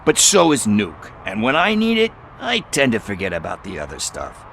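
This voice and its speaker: An actor playing a chatty, evasive street hustler who talks in wheedling casual slang. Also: male voice, on the low side, huge pitch swings, normal speed, creaky, a bit bright, slight background noise, full character voice. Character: shifty street hustler, middle-aged man, chatty and evasive.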